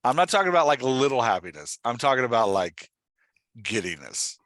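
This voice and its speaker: A man's voice: mid-range voice, very animated, brisk, fairly bright tone, dry recording. A man hosting and coaching in a conversational way, explaining things emphatically and asking leading questions. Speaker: podcast host and writing coach.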